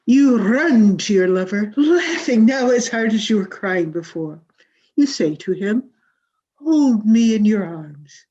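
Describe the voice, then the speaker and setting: A woman's voice: medium pitch, expressive, slow pace, dark tone, husky. A woman playing a grandiose, exacting drama coach in a 1940s-style radio comedy, with theatrical, emphatic delivery. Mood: brisk, instructive. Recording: dull, muffled video-call audio.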